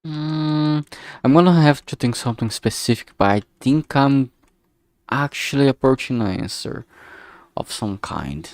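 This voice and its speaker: A man's voice: medium pitch, animated, a little slow, creaky, darkish tone, no echo. A man thinking aloud casually as he works, speaking hesitantly and often trailing off mid-thought. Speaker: streamer making a visual novel.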